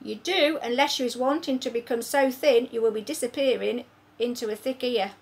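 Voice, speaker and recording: A woman's voice: a little high, normal speed, pitched above the performer's own voice, natural voice. Woman voicing a kindly old word-jumbling giant. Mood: loud. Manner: bumbling, mangled grammar and made-up words. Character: kindly very old male giant who jumbles his words.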